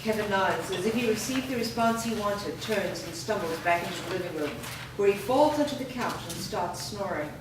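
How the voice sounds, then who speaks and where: A woman reads stage directions in an even, matter-of-fact way. Her voice sits in the middle of the female range. She is heard from a distance in a very echoey room, with audible hiss behind her. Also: mid-range voice, moderate pace. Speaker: narrator reading stage directions. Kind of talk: staged play reading.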